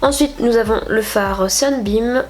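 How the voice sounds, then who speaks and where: A woman's voice: a little high, measured pace. Stuffy-nosed young woman, chatty and rambling. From France. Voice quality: nasal. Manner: chatty, rambling with many fillers. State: stuffy nose from a cold. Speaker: beauty vlogger. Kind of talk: casual makeup tutorial chat.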